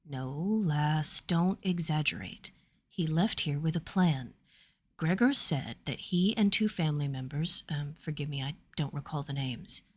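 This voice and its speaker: A woman plays a young warrior man in a fantasy audio story. His voice is low and quiet, with a tired, steady kindness and an unhurried way of speaking. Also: slightly rough. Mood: steady, persuasive.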